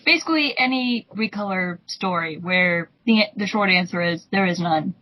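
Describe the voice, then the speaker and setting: A woman's voice: lowish voice, normal speed, sharp tone, poor audio. A tipsy woman giving sardonic, blunt casual commentary among friends. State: tipsy from drinking.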